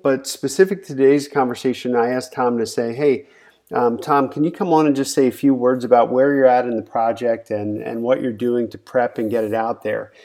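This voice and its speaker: A man's voice: mid-range voice, steady tone, moderate pace, darkish tone. A man hosting a podcast, introducing his guest in a loose, casual way full of fillers. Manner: loose, filler-heavy, friendly setup. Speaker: podcast host.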